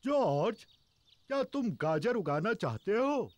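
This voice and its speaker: A kindly old grandpa pig for a kids' cartoon. He speaks very slowly and gently, with big swoops in pitch and a dry, close sound. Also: very animated, dry recording, heavily acted. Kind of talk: children's cartoon dub.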